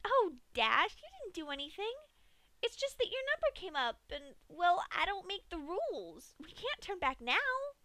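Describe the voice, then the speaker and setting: A woman's voice: big pitch swings, a little slow, sharp tone, dry recording, heavily acted. A woman voicing a cheerful, sadistic female pony who chats sweetly about killing. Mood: gleeful. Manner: sing-song, bubbly, high and bouncy.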